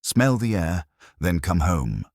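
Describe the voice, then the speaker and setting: A man's voice: sits low, sing-song, slow pace, no room sound, studio quality, full character voice. A man voicing an imperious, eccentric master detective in an audiobook, with formal, pompous, precise speech. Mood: measured, commanding. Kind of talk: acted dialogue in a detective audiobook.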